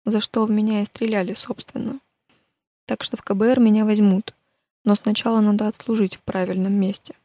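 A woman's voice: mid-range voice, flat delivery, a little fast, mellow, dry recording, lightly acted. A woman voicing a reserved, sombre teenage girl in an audiobook, terse and guarded. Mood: guarded, confessing.

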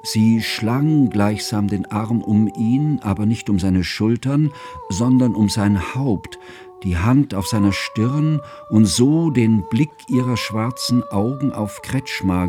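An actor in a radio drama playing a devoted scholarly friend who narrates his memories in a measured, formal, reflective way. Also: on the low side, mellow, soft background music. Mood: measured, recollecting.

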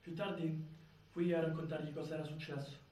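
An actor playing a young son recalling events in a theatrical monologue, heard from a distance with echo. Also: male voice, a little high, little pitch movement, fast, a little mellow, close to an everyday voice. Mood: sober, recollecting. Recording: echoey stage, far from mic.

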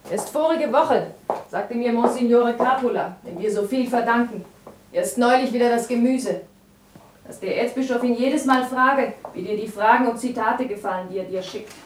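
A woman's voice: on the high side, normal speed, slight background noise, close to an everyday voice, low-quality recording. An actress playing a devout, dutiful grown daughter caring for her old father, speaking earnestly and carefully in a German radio play. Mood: admonishing. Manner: earnest, careful reading aloud.

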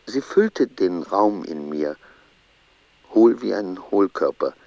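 A brooding, haunted man in a radio drama monologue. His low voice moves very slowly and deliberately, yet swings widely in pitch. The sound is close, dry and slightly muffled. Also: animated, vocal fry, mellow, dry recording, heavily acted. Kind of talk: literary radio play monologue.

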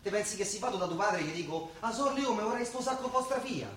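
An actor playing a penniless young Roman suitor in a dialect comedy, affectionate but evasive and defensive. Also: male voice, high voice, animated, quick pace, fairly bright tone. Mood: exasperated. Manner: pleading, defensive, colloquial. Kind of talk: naturalistic Italian dialect stage comedy acting. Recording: roomy, distant stage sound with faint noise.

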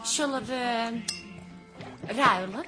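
A woman's voice: a little high, slow, music in the background. An actress playing a conciliatory, evasive grown woman, a daughter and wife, hesitant and placating in a radio comedy drama.

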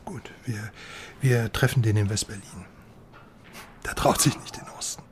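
An actor playing an East German go-between who talks casually and briskly in a radio crime drama. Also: male voice, fairly low pitch, a little hiss or hum, natural voice. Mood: casual, furtive. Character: brisk East German acquaintance arranging a secretive meeting.

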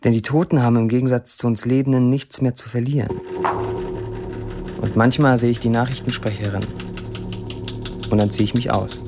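An actor playing a young, disillusioned Syrian man who delivers a sardonic, deliberate confessional monologue in a radio drama. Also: mid-range voice, fairly even intonation, darkish tone, lightly acted. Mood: somber, detached.

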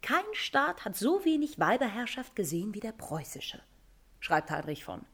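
An actress playing a combative, sarcastic feminist in a satirical radio play, emphatic and declamatory. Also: female voice, on the high side, big pitch swings, moderate pace, slightly rough, full character voice. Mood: indignant interjection. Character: combative, sarcastic feminist woman debunking Prussian history.

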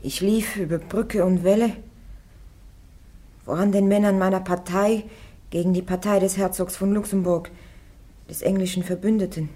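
An actress playing a devout young woman warrior on trial, answering her judges firmly and calmly in a radio drama. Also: medium pitch, moderate pace, long pauses, close to an everyday voice. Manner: firm, calm, defiant answers.

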